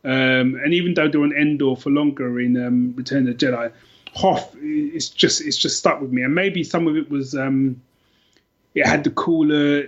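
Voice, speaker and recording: A man's voice: medium pitch, steady tone, moderate pace, dull audio. A middle-aged man chatting casually as a podcast guest, enthusiastic and rambling, often repeating himself. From London, England. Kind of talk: casual chat, telling personal stories.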